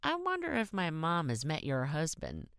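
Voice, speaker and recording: A woman's voice: low-pitched, huge pitch swings, normal speed, gravelly, a bit bright, smooth, dry recording, studio quality, pitched above the performer's own voice, exaggerated voice. A woman voicing a shy, lonely twelve-year-old boy in an audiobook story, with a plain-spoken, boyish manner. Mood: facetious.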